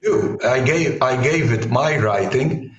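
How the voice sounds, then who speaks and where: Man in his sixties, medium pitch, voice partly gone, halting explanations, roomy echo. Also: muffled recording. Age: 60s. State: partly lost voice. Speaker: guest, author and executive coach. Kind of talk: conversational interview answers. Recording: roomy, echoey sound.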